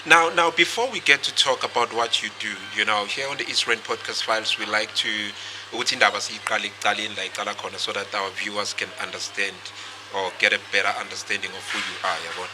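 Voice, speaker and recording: Low-voiced South African podcast host. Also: male voice, fairly even intonation, fast talker. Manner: chatty, mixes in Zulu phrases. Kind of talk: casual interview chat. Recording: noisy podcast recording.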